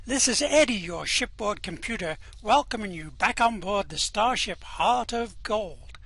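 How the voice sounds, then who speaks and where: A man's voice: high voice, creaky, sharp tone, no echo, exaggerated voice. A man voicing a cheery male shipboard computer in an amateur radio play reading, speaking like a sing-song announcer.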